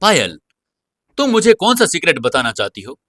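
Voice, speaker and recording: A vain young hero from a romantic audio drama, acted out by a man, easily flustered and given to dramatic exclamation. His voice is bright and sharp, recorded close and dry. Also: a little high, huge pitch swings, normal speed, dry recording, pitched above the performer's own voice. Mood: curious, startled.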